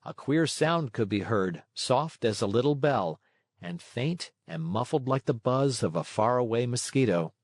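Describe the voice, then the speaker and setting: A man reading a children's story as scripted narration, expressive in his storytelling. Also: medium pitch, unhurried, no room sound, clean studio sound.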